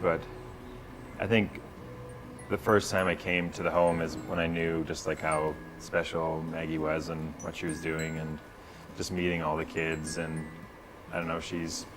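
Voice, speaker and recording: Deep-voiced, hesitant man. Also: flat delivery, normal speed, background noise. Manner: hesitant, laughing, trailing off. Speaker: interview guest, filmmaker. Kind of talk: casual interview talk. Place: TV studio set. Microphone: lapel mic.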